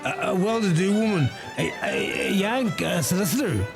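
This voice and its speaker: A man playing a cheeky, gossipy pub regular with a Donegal Irish accent, halting and joking, in a comic radio play. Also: high voice, huge pitch swings, over music, exaggerated voice. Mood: conspiratorial.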